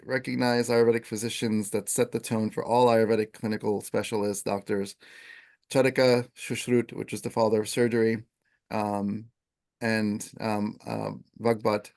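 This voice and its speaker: A man who teaches Ayurveda, explaining in a relaxed, conversational way with plenty of fillers. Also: medium pitch. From Italian first language. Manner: relaxed, filler-heavy, chants Sanskrit verses.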